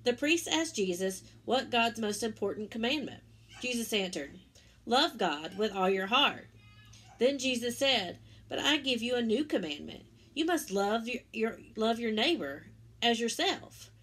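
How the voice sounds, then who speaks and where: A woman reading a children's religious picture book aloud as a story-time teacher, pausing for simple explanatory asides to young listeners. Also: fairly high pitch, lively intonation, fairly bright tone, slightly rough.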